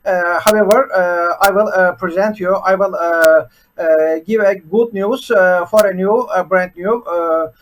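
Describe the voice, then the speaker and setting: A man's voice: sits high, a little hiss or hum, low-quality recording. A man from Turkey, a native Turkish speaker with a Turkish accent, giving an unscripted presentation as a programming teacher, stopping on "uh" between nearly every few words. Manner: halting, with constant "uh" fillers between words. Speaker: programming teacher and channel host. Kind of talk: unscripted screen-share presentation.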